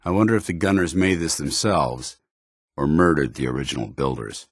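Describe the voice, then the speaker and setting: A stern soldier of principle, with an extremely low voice that has a husky edge. He speaks formally and earnestly, like a commanding officer loyal to his order. It is video game companion dialogue, recorded dry and close. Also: moderate pace, no echo. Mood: watchful, businesslike.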